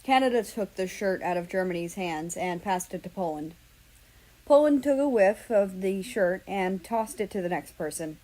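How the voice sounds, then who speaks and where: A woman's voice: mid-range voice, expressive, moderate pace, darkish tone, rough recording. A woman narrating a story as a fan fiction reader. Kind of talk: storybook-style narration with acted dialogue.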